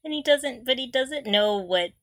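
A woman's voice: high voice, expressive, moderate pace, a bit bright, dry recording, studio quality. A woman co-hosting a casual fan podcast, recapping with gushing enthusiasm and frequent giggles. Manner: giggly, often breaking into laughter. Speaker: podcast co-host.

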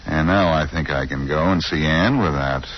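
Husky, wisecracking radio sleuth man. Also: extremely low pitch, moderate pace. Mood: cool, defiant. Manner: glib, teasing. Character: wisecracking, cool, unflappable amateur sleuth man. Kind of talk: 1940s radio acting. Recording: muffled old-time radio recording.

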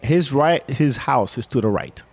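A man's voice: medium pitch, expressive, a little slow, a little mellow, slightly rough. Rambling man from Senegal telling a story. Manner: rambling, repeats words, acts out dialogue. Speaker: guest storyteller. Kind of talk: casual spoken ghost story.

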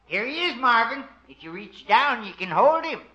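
An actor playing a cheerful, corny doctor friend with a playful singsong delivery in 1950s radio comedy. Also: male voice, extremely high pitch, sing-song, normal speed. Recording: noisy, dull old 1950s radio recording.

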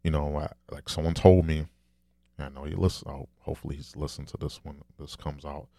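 A man with a deep, low, soft voice, talking candidly and rambling in a casual solo monologue, swearing now and then. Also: fairly even intonation, a little fast, vocal fry, dry recording, clean studio sound.

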